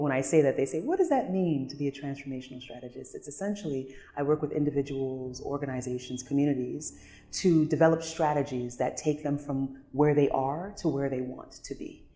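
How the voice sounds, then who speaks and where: A woman's voice: a bass voice, fairly quick, mellow, soft background music. A woman, born in Nigeria, introducing herself in a confident, articulate way. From Nigeria, raised in New York. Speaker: strategist and coach. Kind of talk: polished self-introduction. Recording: echoey room.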